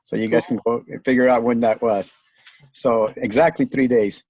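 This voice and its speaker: A man's voice: mid-range voice, fairly even intonation, moderate pace, darkish tone, husky, no room sound, rough recording. A man in his fifties who grew up in Mexico, chatting in a laid-back way on a video call and laughing easily. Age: 50s. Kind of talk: casual chat on a video call.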